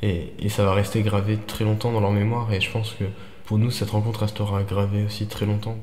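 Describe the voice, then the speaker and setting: A man speaks in an earnest, moved way with a low, flat voice. His words ring out in a reverberant hall with some noise behind. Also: brisk. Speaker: traveler, vlogger. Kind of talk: reflective testimony to camera.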